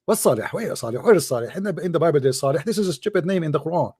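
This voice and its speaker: A man's voice: fairly high pitch, animated, racing, a little mellow, husky, dry recording. A man hosting a heated call-in debate, his voice tired and dry, combative and repeating himself. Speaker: debate show host.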